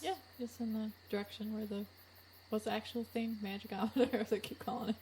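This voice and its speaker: A woman's voice: on the high side, flat delivery, brisk, a little mellow, slightly rough. A woman chatting casually as a player in a tabletop game, playful and self-mocking. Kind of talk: casual chat. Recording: noisy room recording.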